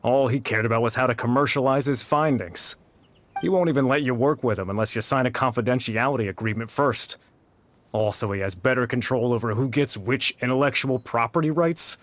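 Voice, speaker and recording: An actor playing a principled researcher who speaks in a dry, matter-of-fact way. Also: male voice, mid-range voice, brisk, lightly acted. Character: principled, aloof male researcher. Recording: game dialogue over music.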